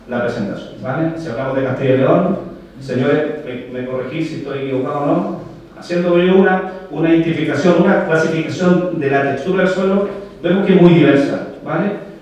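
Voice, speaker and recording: A Chilean-accented man delivers a technical talk, explaining step by step and throwing questions back at the room. His voice sits a little high for a man and comes from well back from the microphone, washed in the heavy echo of a large hall. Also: a little mellow. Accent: Chilean Spanish. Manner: explanatory, frequent "¿vale?" checks, rhetorical questions to the audience. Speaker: agronomy speaker. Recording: very echoey hall, voice heard from far off.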